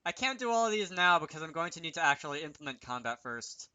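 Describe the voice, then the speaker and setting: Man, very bright crisp-edged tone, fairly high pitch, casual tutorial commentary, hesitant, thinking aloud. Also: sing-song, fast, no room sound. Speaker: game developer hosting a tutorial series. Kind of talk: casual unscripted screen-recording commentary.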